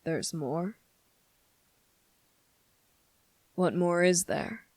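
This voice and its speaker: A performer playing a sardonic, condescending demon in a dramatic ASMR roleplay, speaking slowly and deliberately with many pauses. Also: mid-range voice, darkish tone, dry recording, close to an everyday voice. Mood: grave, subdued. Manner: slow, deliberate, condescending, pausing often. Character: sardonic, menacing demon weighing a summoner's revenge plea.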